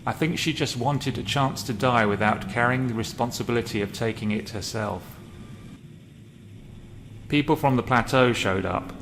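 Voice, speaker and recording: A man's voice: medium pitch, moderate pace. A man narrating a game role play and reading journal entries aloud. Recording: loud background noise.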